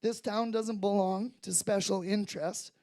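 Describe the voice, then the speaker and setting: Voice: mid-range voice, steady tone, moderate pace, darkish tone. A candidate giving a firm, plainspoken campaign speech at a town forum in a community rec center. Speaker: council candidate. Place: community recreation center hall.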